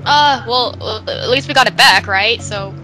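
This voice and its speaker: A man's voice: very high-pitched, normal speed, bright, forceful tone, soft background music, full character voice. A man voicing a small, timid young cartoon monkey in an amateur comedy dub, meek and casual.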